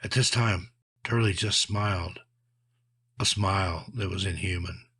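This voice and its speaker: A man with a fairly deep, rough-edged husky voice reads frightening tales as a narrator. His delivery is scripted, measured and dramatic, with phrases that fall away at their close. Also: fairly bright tone. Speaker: horror story narrator.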